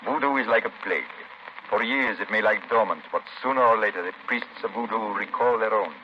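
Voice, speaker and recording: An actor playing a grave, widowed plantation master in a 1930s radio drama, speaking formally and solemnly. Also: male voice, on the low side, a little fast, lightly acted. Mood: grave, foreboding. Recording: muffled 1930s radio recording, faint noise.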